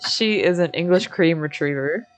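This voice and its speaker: A woman's voice: lowish voice, normal speed, faint music behind, poor audio. An American woman chatting casually as she hosts a game livestream, with a giggly, slangy, informal manner. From Michigan, United States. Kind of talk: casual livestream chat while gaming.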